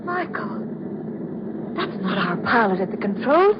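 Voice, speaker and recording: An actress playing a refined, anxious soprano star in a 1940s style radio drama, theatrical and breathless. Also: female voice, mid-range voice, big pitch swings, unhurried. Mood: frightened. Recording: very noisy, muffled old radio recording.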